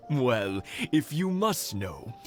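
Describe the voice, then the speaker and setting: A man voicing a good-humoured elderly husband in a video game, gentle and a little hesitant as he speaks. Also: mid-range voice, sing-song, drawn-out words, over music. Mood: fond, nostalgic. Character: fond, good-humoured elderly husband, married fifty years.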